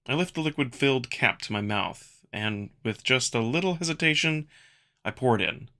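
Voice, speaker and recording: A man's voice: mid-range voice, animated, moderate pace, sharp tone. A man reading scripted first-person narration for a visual novel as a story performer.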